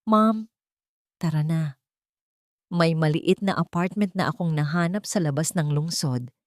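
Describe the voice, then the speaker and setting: A woman's voice: low-pitched, a little slow, no room sound, studio quality. A woman voicing a bitter, resigned adult son in an audiobook drama, weary and reproachful.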